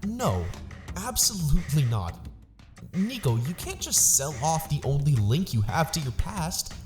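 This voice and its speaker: A man's voice: on the high side, sing-song, measured pace, over music. A man playing a protective, principled young spaceship crewman in an audio drama, earnest and firm. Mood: adamant.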